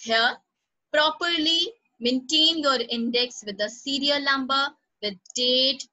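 A woman's voice: high voice, slow pace, bright, forceful tone, muffled recording. A female teacher, leading an online class, encouraging students by name and repeating instructions. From Pakistan.

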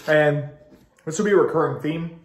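A man's voice: a little high, normal speed, slight background noise. Congested chatty man in an echoey room. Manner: chatty and enthusiastic, talks to the camera. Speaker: ice cream reviewer. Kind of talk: casual video review. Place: small room at home. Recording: roomy home recording.

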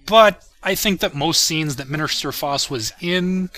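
Bright-voiced man doing casual commentary. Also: fairly high pitch, a little slow. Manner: self-deprecating, chuckling, swearing. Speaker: creator commenting on own parody series.

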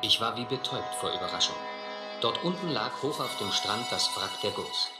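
An actor playing an earnest, wary, educated adventurer in a radio drama, speaking in a measured, literary way. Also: male voice, on the low side, animated, echoey room, over loud music, close to an everyday voice. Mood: tense, reflective. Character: earnest, wary male castaway narrator, educated gentleman adventurer. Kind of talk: scripted first-person narration and dialogue in radio drama.

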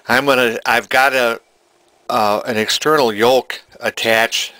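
A man informally narrating a repair demonstration, halting and thinking aloud as he goes. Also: medium pitch, steady tone, pushed and bright, no echo. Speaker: hobbyist electronics restorer. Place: cluttered indoor workshop.

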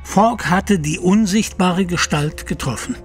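A courtly storyteller narrating an audio drama over clear music. His voice sits somewhat high, and he speaks formally and theatrically, with great courtesy. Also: measured pace. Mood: suspenseful.

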